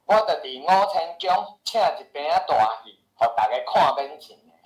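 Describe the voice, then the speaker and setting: An actor with a Taiwanese accent playing a skeptical, boastful house seller who grumbles and argues in a radio drama. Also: male voice, on the high side, animated, moderate pace, close to an everyday voice. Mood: resigned, challenging. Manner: scoffing, exclamatory, argumentative. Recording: roomy echo with music behind.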